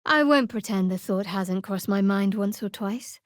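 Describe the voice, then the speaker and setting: An actress playing a guarded, dryly sardonic young woman cleric of a dark goddess in a fantasy video game. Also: medium pitch, normal speed, no room sound, clean studio sound, close to an everyday voice. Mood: guarded, measured.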